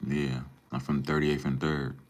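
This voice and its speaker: Young man from Minnesota, extremely low voice; relaxed live-stream banter with chat. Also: unhurried. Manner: laid-back, relaxed slang, calls viewers bro and man. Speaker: livestreamer. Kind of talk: casual live stream chat, reading and answering viewer comments.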